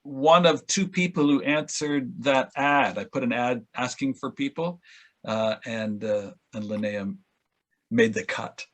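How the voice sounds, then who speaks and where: Man, host, middle pitch, casual interviewing, jocular, halting phrasing. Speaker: discussion host. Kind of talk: casual chat, interviewing guests.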